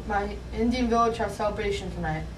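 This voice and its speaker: A woman's voice: mid-range voice, brisk, natural voice. A woman voicing a serious young Native boy who speaks clipped broken English. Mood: solemn. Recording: distant echoey room with noise.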